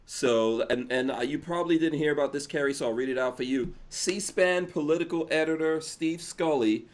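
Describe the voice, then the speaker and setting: A man hosting a podcast in a casual chat, reading out viewer comments in a relaxed, rambling way. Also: a little high, normal speed. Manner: relaxed, chatty, drifts into mumbled asides. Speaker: podcast host.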